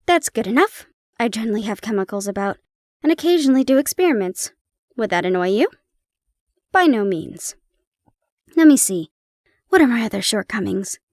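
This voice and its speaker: A woman reading as a brisk clever detective. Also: fairly high pitch, sing-song, a little mellow, no echo, studio quality. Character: clever eccentric male detective, frank and brisk.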